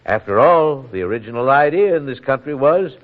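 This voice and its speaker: A man's voice: mid-range voice, animated, a little fast, close to an everyday voice. Man acting an earnest townsman on tinny old radio. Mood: genial, earnest. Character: earnest, civic-minded townsman in a small rural community. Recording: muffled, narrow-band old radio broadcast.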